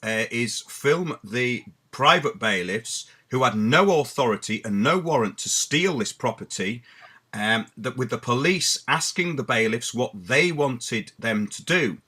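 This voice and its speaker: Bright, forceful male interviewer. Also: mid-range voice, animated, measured pace, breathy edge. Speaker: interviewer and show host. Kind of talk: interview talk.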